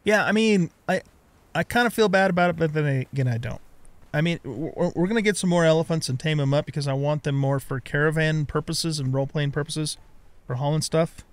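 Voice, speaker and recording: A man's voice: a little high, fairly quick, no echo. A middle-aged man giving casual let's play commentary, chatting and thinking aloud as he goes. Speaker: gaming let's play host.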